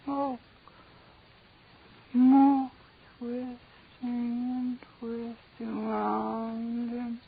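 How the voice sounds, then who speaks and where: Creaky super slow dazed woman gone mad, in an old muffled radio horror show. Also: a little high, flat delivery, full character voice. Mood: dazed raving. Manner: dazed, trance-like repetitive raving.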